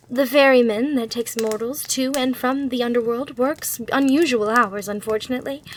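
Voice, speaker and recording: A sly demon hotel clerk played by a woman speaks in a high female voice for an ASMR roleplay. She is courteous and soothingly salesy, as if serving a guest at the front desk. A faint hiss sits behind her. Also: lightly acted, low-quality recording. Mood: apologetic, patient. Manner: courteous, reassuring customer-service patter.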